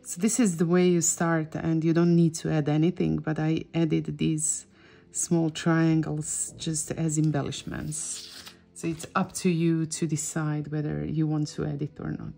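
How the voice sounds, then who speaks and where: A woman's voice: low-pitched, monotone, unhurried, gravelly, warm tone, soft background music. A middle-aged woman with a Croatian accent, a native Croatian speaker, giving a casual drawing tutorial and often searching for words. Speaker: drawing teacher and host.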